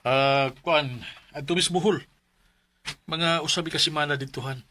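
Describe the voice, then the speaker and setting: Affectionate busy husband, Cebuano accent, bright forceful voice, radio drama, noisy recording with clicks. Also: male voice, a little high, fairly even intonation, moderate pace. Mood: caring, reminding. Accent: Cebuano Filipino. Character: affectionate busy husband, a gigging musician leaving home. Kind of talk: Bisaya radio drama acting.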